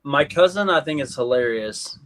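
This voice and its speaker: A man's voice: mid-range voice, animated, normal speed, fairly bright tone. Rambling man, average voice. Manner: loose, rambling, heavy use of "like". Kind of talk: casual group chat.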